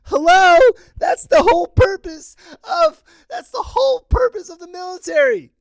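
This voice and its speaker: A man hosting a podcast, ranting loudly and bursting into sudden yells. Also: very high voice, lively intonation, moderate pace, no echo. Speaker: podcast host. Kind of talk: opinion rant.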